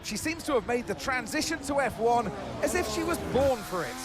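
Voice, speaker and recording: A man's voice: very high voice, expressive, fairly quick, over music, crowd noise. An actor playing a keen male motorsport commentator, declamatory and polished in live race commentary for a game. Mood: impressed.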